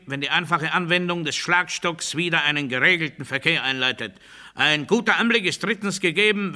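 Male authoritarian orator, radio play monologue, highish pitch, piercingly tense and forceful, pompous, self-righteous, menacing, declamatory. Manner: pompous, declamatory, emphatic rhetorical question and answer.

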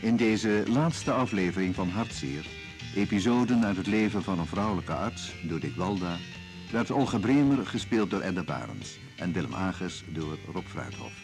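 A Dutch man announces in a low voice over prominent music. He reads scripted radio drama credits in an announcer's style. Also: lively intonation, moderate pace. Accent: Dutch (Netherlands).

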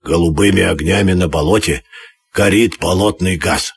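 A man's voice: low-pitched, moderate pace, creaky, breathy edge, pitched below the performer's own voice, heavily acted. A man voicing a very old, knowing female crow who explains things instructively in a children's storybook tale. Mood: knowing, patronizing.

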